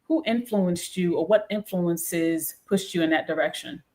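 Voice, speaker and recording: Low-voiced woman co-hosting an interview. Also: a little slow, darkish tone. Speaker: co-host. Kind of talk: casual interview questions.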